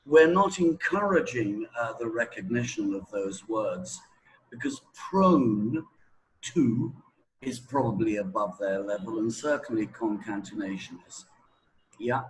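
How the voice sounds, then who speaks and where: A man's voice: fairly low pitch, lively intonation, measured pace, poor audio. A man explaining a point as a teacher, in a didactic way with check questions. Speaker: English teacher. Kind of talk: explanatory teaching talk to a learner.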